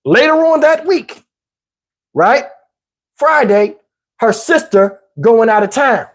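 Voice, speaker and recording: Casting: American man, husky voice, animated streamer spinning personal tales in loose slang and playing out each side of the conversation. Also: extremely high pitch, takes it slowly. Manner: slangy, acts out dialogue. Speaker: livestream host telling personal stories. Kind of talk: casual animated storytelling.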